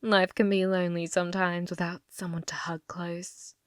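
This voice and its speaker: A woman playing a possessive, manipulative schoolgirl childhood friend in an ASMR roleplay, coy and teasing, with breathy, sweetly menacing delivery. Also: fairly low pitch, level tone, no room sound, clean studio sound, close to an everyday voice. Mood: smug, jealous. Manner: coy, teasing, drawn-out phrasing dropping into breathy whispers.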